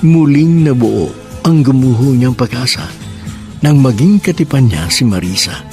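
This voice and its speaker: A man's voice: mid-range voice, lively intonation, unhurried, darkish tone, faint music behind. A man hosting a radio drama program, reading poetic lines and a listener's letter in a solemn narrating style.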